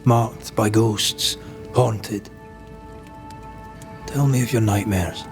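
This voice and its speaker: An actor playing a compassionate, steady ghost-hunter who asks measured questions in old-fashioned phrasing in a game drama. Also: male voice, on the low side, over music. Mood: concerned. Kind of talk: dramatic video game cutscene acting.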